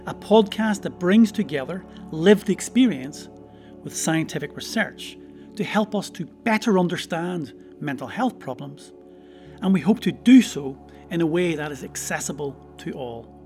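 Guy, hoarse, fairly high voice, podcast host, roomy echo with faint music. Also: expressive, unhurried. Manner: warm, repeats words, restarts. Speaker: podcast co-host, psychology professor. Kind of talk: podcast interview.

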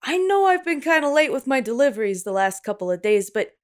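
A woman's voice: high voice, sing-song, brisk. A woman playing a timid, apologetic milkman in a horror radio play, talking in a hesitant, stammering, folksy way. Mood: sheepish. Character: timid, apologetic male milk delivery worker. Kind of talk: 1930s horror radio play table read.

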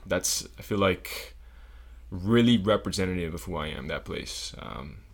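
A young man from South Florida with a very deep, low voice guests on a casual podcast. He talks thoughtfully and hesitantly, filling pauses with ums as he works out what to say. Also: creaky. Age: young adult. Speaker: podcast guest, engineering student.

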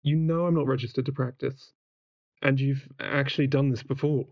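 A measured, matter-of-fact crew member talks over a slightly dull comms link. The voice sits at a middling pitch, in the calm manner of a sci-fi audio drama colleague. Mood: calm, firm.

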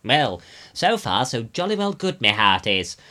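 A man's voice: mid-range voice, big pitch swings, unhurried, sharp tone. A man voicing a hearty, jolly ex-Navy Prime Minister with sailor-like talk, in a storybook. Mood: hearty, pleased.

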